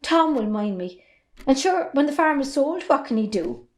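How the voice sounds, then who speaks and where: A woman's voice: high-pitched, big pitch swings, moderate pace, a little mellow. A young Irish woman acting a defiant, plain-spoken farm daughter in a stage drama. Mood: resolute. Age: young adult.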